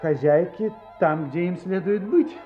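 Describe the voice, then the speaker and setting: An actor playing an earnest, courteous and curious gentleman in an audio drama, with an expressive, somewhat hesitant manner. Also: high-pitched, measured pace. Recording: slightly dull audio with music.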